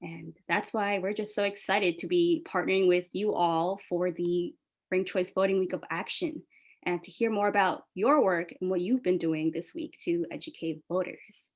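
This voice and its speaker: A woman's voice: on the low side, moderate pace. A woman from New York City, a Bangla speaker, hosting a press call as an organizer in an enthusiastic, casual, friendly way. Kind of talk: upbeat press call moderation.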